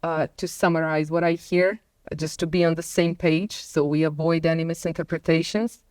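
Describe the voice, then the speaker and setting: A woman from Croatia and Bosnia, a guest on a company talk show, speaking in unhurried English with many fillers. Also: deep voice, little pitch movement, a little mellow, no echo. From Croatia and Bosnia and Herzegovina. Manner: hesitant, many fillers, laughs between points. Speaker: podcast guest. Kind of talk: casual conversation.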